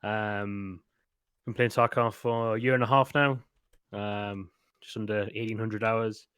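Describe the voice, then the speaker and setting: An easygoing man from Northeast England who talks haltingly and uses plenty of fillers. He has an ordinary mid-pitched voice. The mic is dry and close. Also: fairly even intonation, fairly bright tone, clear, resonant tone, no room sound. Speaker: podcast guest. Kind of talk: casual podcast chat.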